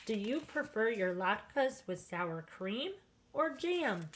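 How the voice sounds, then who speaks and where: A woman acts out a hospitable old grandmother from a children's storybook. She fusses and scolds gently with great fondness. Her delivery is unhurried and lilting. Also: a little high, big pitch swings, slight background noise, full character voice. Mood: amused, fussing. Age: older. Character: kindly, hospitable old grandmother, chuckling and fussing. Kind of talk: children's storybook read-aloud.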